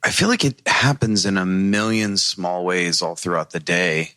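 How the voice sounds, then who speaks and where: A man's voice: deep voice, animated, normal speed, sharp tone, dry recording. A man speaking as a panel guest, earnest and reflective as he talks about his own experience. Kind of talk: reflective podcast discussion.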